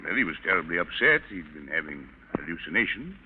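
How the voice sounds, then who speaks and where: An actor playing a composed doctor giving testimony in a 1940s radio drama, measured and formal. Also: male voice, deep voice, sing-song. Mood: composed, explanatory. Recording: muffled old radio, faint noise.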